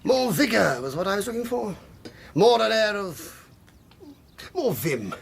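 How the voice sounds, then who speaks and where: An actor playing an irascible older English country squire in a radio drama, gruff and indignant, with a raspy, gravelly voice. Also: male voice, sits high, big pitch swings, measured pace, exaggerated voice. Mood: gruffly sociable. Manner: gruff, indignant, old-fashioned bluster.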